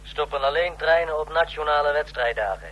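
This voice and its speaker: A man's voice: a little high, brisk, fairly bright tone, close to an everyday voice. A man playing a skeptical, needling agent in a radio play, objecting and mildly mocking. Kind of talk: Dutch radio play acting.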